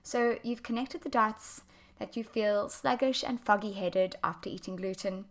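A woman hosting her own podcast, a health coach explaining her topic in an earnest, explanatory way, her voice slightly croaky as she gets over a chest infection. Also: mid-range voice, moderate pace. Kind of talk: solo podcast talk.

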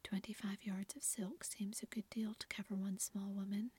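A woman's voice: mid-range voice, level tone, normal speed, dark tone, husky. A woman whispering the lines of a kind, patient young husband in a storybook reading. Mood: dry. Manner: whispered throughout.

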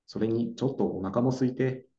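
A young man acting a calm, gentle boyfriend in a slow, clear radio drama for learners. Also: mid-range voice. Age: young adult. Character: Naoto, young man staying in his hometown. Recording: dull lo-fi audio.

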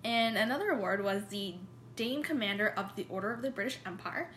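A woman talks through a presentation in a relaxed, informal way, often pausing and restarting her phrases. She is recorded in an echoey room with faint noise behind. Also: medium pitch. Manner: hesitant, many fillers and restarts.

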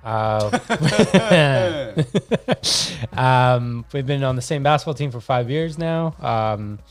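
A man's voice: mid-range voice, slow, crowd noise. A man in his thirties from Argentina chatting casually as a podcast guest, slangy and joking. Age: 30s.

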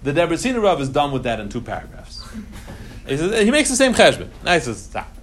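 A man gives an informal religious lesson to students. His voice sits slightly high. He explains emphatically, repeats key phrases and slips Hebrew and Yiddish terms into his English. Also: huge pitch swings, fast, slight background noise.

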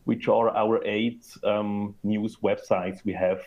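Measured Swiss man, lowish voice. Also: flat delivery, dull audio. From Switzerland. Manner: measured, thoughtful, with fillers. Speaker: guest, product manager. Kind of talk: interview answers.

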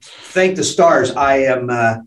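A man's voice: mid-range voice, animated, slow pace, roomy sound. A man in his late sixties co-hosting a podcast, talking candidly with frequent restarts and frank swearing. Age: late 60s. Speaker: podcast co-host. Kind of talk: candid conversational podcast talk.

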